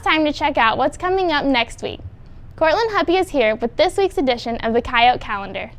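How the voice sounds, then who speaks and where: A woman with a high voice anchors a student news show. She delivers scripted introductions and sign-offs. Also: animated, fairly quick, music in the background. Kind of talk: scripted newscast links.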